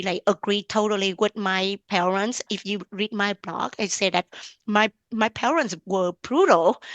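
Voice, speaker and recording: A woman with a Vietnamese accent talks as a podcast guest in a casual, animated way, often breaking into laughter. Her pitch sits in the middle range. The sound is close and dry. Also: no echo. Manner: animated, laughs often, non-native phrasing. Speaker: podcast guest, lifestyle blogger. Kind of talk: casual interview chat.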